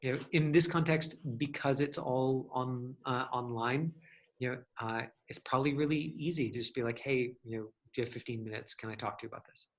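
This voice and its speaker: A man's voice: mid-range voice, brisk, poor audio. A man leading a casual online class as an acting teacher, thinking aloud in a rambling, hedging way as he answers questions.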